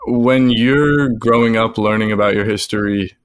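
A man's voice: on the low side, moderate pace, clear, resonant tone, no echo. A man hosting a podcast, asking curious and slightly hesitant questions with fillers. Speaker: podcast host. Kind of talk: interviewing a guest.

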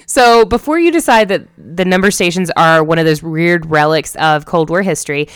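An American woman with a low, clean, resonant voice, recorded close and dry. She sounds like a podcast host, measured when she narrates and casual when she chats. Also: fairly bright tone, smooth, no echo. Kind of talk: scripted narration with casual banter.

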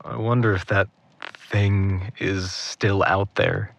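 A guarded young man as a male actor plays him in an audio drama, stubborn and practical. His voice is low and unhurried, with an understated, hesitant delivery. The recording is very dry. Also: fairly even intonation, vocal fry, a bit bright, no echo, exaggerated voice. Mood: shaken, uneasy. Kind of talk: naturalistic audio drama acting.

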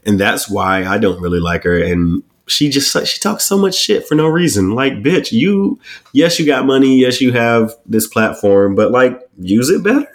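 A man co-hosting a casual chat, blunt and profane, talking with animated swings. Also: medium pitch, normal speed. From Washington DC area. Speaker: podcast co-host.

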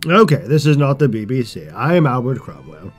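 A man voicing a pompous, self-important British royal ex-broadcaster, halting and mumbling as he rambles through a comedic podcast movie review in a British English accent. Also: medium pitch, sing-song, husky, faint music behind. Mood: pompous, rambling. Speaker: podcast host.

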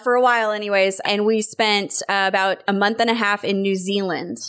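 A woman's voice: mid-range voice, fairly even intonation, fairly quick, fairly bright tone, clean tone. An American woman in her thirties, a podcast guest telling stories casually and enthusiastically in a studio. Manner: enthusiastic, chatty. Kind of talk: casual chat, storytelling.

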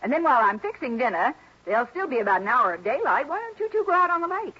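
Chatty wealthy hostess. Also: female voice, high-pitched, very animated, fast, pushed and bright, breathy edge, heavily acted. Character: chatty, warm-hearted wealthy hostess, middle-aged woman. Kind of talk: 1960s radio drama acting. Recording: dull lo-fi 1960s radio broadcast.

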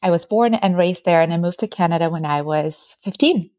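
A woman in her thirties from Ukraine, Ukrainian her first language, speaks at a low pitch and tells her story in a reflective, easygoing way. Her voice sits close and dry, with almost no room sound around it. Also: fairly quick, a little mellow, no room sound, muffled recording. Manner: reflective, telling stories with small laughs. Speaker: podcast guest. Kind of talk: casual interview talk.

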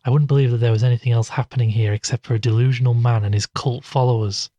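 A man playing a worried studio broadcaster investigating the occult in an audio drama, speaking in an earnest, measured way. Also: mid-range voice, fairly even intonation, dark tone, no room sound, close to an everyday voice. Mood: concerned.

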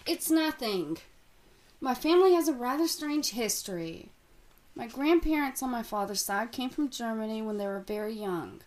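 An actress playing a secretive young woman from a cursed family, guarded and hushed. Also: fairly high pitch, lively intonation, moderate pace, natural voice. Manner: hushed, guarded, then pleading. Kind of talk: audio drama acting.

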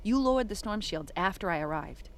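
An actress playing a tough, wry police detective in an audio drama, blunt, dry and commanding. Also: female voice, medium pitch, animated, brisk, slight background noise, natural voice. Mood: intent, focused. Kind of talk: scripted audio drama acting.

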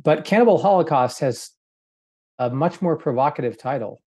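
A middle-aged man chats as a quiz panelist. His pitch runs a bit high for a man. He thinks out loud in a casual, jokey way with a taste for wordplay. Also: expressive, normal speed, husky. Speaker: podcast panelist, quiz contestant. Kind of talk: casual chat, reasoning aloud.

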